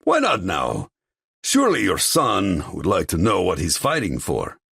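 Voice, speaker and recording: A man's voice: deep voice, huge pitch swings, unhurried, gravelly, a bit bright, slightly rough, dry recording, clean studio sound, voice pushed up, heavily acted. A man putting on a smug, taunting foreign rogue. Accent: slight foreign accent. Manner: taunting, smirking. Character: smug tall foreign man with a mocking laugh.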